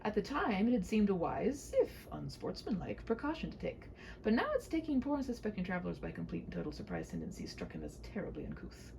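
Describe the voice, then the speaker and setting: A woman's voice: medium pitch, wildly swooping pitch, a little fast, creaky, darkish tone, a little hiss or hum, poor audio. A woman reading a humorous storybook aloud as narrator, with wry, theatrical delivery.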